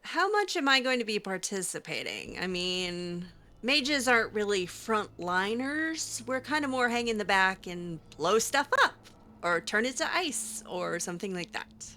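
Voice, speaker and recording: A woman's voice: medium pitch, sing-song, unhurried, sharp tone. A woman improvising in character during a game playthrough, talking casually and hesitantly with dry sarcasm. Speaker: gaming host role-playing her character.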